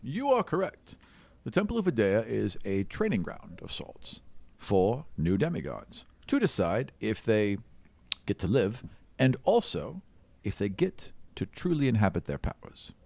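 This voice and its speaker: A man voicing a calm, self-assured dwarf seeker in a tabletop game, speaking formally with faint condescension. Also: fairly low pitch, measured pace, vocal fry, no room sound, full character voice. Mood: explaining calmly.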